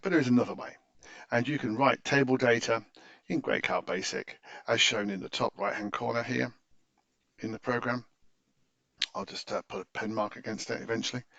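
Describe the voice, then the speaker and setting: A man's voice: mid-range voice, vocal fry, a bit bright, no room sound. A man narrating an informal technical tutorial in a hesitant, stop-start way, often correcting himself, in a slightly muffled close-miked recording. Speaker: tutorial presenter.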